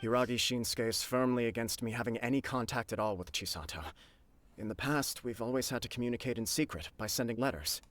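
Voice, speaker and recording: A man's voice: medium pitch, fast talker, a bit bright, no room sound, close to an everyday voice. A man playing an earnest, humble, dutiful young military clan leader in a video game, speaking formally and a little stiffly.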